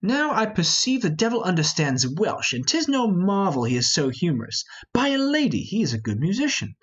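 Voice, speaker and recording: Man acting a hot-headed young nobleman, swooping pitch. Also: wildly swooping pitch, moderate pace, a bit bright, breathy edge, highs cut off, heavily acted. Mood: teasing. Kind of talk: amateur Shakespeare reading.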